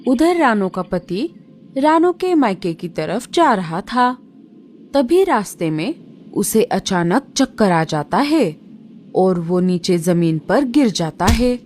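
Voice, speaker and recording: A woman's voice: medium pitch, big pitch swings, slow, a little mellow, slight background noise. Sing-song woman narrating a kids' tale. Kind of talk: storytelling narration for children.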